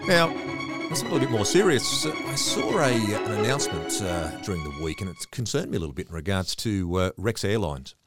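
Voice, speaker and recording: A man with an Australian accent hosting a radio interview, chatty and quick with affirmations. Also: fairly low pitch, very animated, music in the background. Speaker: radio host.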